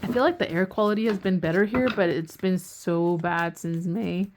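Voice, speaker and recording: A woman's voice: fairly low pitch, fairly even intonation, moderate pace, background noise. A woman giving a casual tarot reading who is losing her voice, rambling and often restarting her sentences. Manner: swears casually. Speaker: tarot reader.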